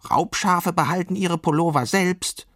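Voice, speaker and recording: Man putting on a high-pitched, cheeky young sheep girl. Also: measured pace, fairly bright tone, soft background music. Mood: snippy. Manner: snippy, bold. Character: cheeky, defiant young female sheep dreaming of piracy.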